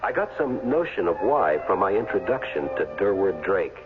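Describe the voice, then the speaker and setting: Ex-athlete hero-narrator: lowish voice, casual, wry, inquisitive, tinny vintage radio. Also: male voice, big pitch swings, normal speed, over music. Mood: reflective, wry. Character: wry, curious retired football player narrating his adventure. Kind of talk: 1970s radio drama, first-person narration and dialogue.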